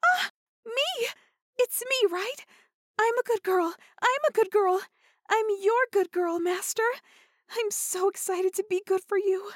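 A woman voicing an eager, submissive, excitable adult dog-human hybrid in an intimate audio roleplay, with a breathy, pleading manner. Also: very high voice, a little slow, pauses often, dry recording, studio quality. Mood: overjoyed, excited.

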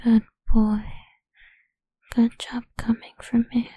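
A woman's voice: fairly high pitch, little pitch movement, slow pace, mellow, husky, no echo. A young woman whispering close to the microphone in an intimate roleplay, with a dominant, teasing manner. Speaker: audio roleplay performer.

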